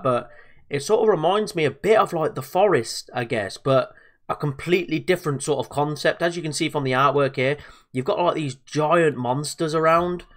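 A man's voice: fairly high pitch, fairly bright tone. Man, slightly stuffy from a cold, casual gaming commentary, hesitant, chatty. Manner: chatty, hesitant, lots of fillers and self-talk. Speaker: gaming video host. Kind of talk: casual let's-play commentary.

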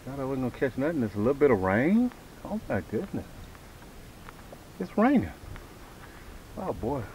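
A man's voice: medium pitch, sing-song, quick pace, a little mellow. A middle-aged American man chatting casually to camera in a laid-back way, often repeating himself, as a fishing vlogger. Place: outdoors on a fishing boat on a lake. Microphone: camera mic, close then several feet away. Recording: clearly noisy outdoor boat recording. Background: boat motor and outdoor noise.

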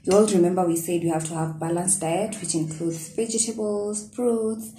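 A woman's voice: lowish voice, steady tone, moderate pace, dark tone. A young woman in her twenties chatting casually in a vlog, listing things with fillers and small self-corrections. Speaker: vlogger. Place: small indoor room at a table. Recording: echoey room with clear background noise.

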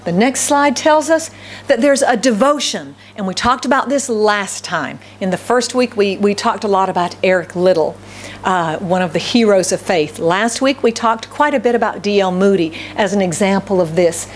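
A middle-aged American woman pastor preaching a sermon from a podium in a church hall, with a loud voice, repeating key phrases and asking the congregation questions. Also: medium pitch, moderate pace, slight background noise. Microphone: podium microphone.

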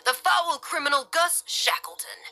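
A woman's voice: high voice, unhurried, bright, forceful tone, soft background music, pitched above the performer's own voice, heavily acted. A woman voicing a smirking, condescending male police officer with a derisive, mocking delivery, in an audio story. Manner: derisive, sneering.

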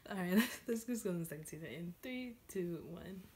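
A woman in her twenties chatting casually and excitedly as a reaction video host, halting and leaning often on "like". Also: on the low side. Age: 20s. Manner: excited, gushing; halting, repeats "like" heavily. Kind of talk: casual reaction vlog chat. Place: small room at home, indoors. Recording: clearly noisy home room recording.

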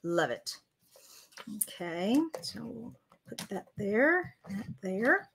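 An older woman talks through a card-making project live from her craft desk. Her voice is bright and clear. She chats casually, corrects herself often and greets viewers by reading their comments. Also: medium pitch, big pitch swings, slow, a little hiss or hum. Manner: chatty, self-correcting, reads viewer comments aloud.

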